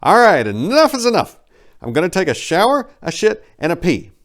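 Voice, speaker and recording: A man playing an exasperated, bossy story hero who shouts emphatic orders in a comic dramatic reading. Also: high voice, huge pitch swings, normal speed, pitched above the performer's own voice, heavily acted. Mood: angry, ordering.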